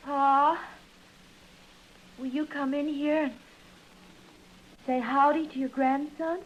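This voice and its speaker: A woman's voice: high-pitched, fairly even intonation, slow pace. An American actress playing a weary young new mother, a rancher's daughter, speaking tentatively in a 1950s radio western. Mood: tentative, hopeful. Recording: dull vintage radio recording.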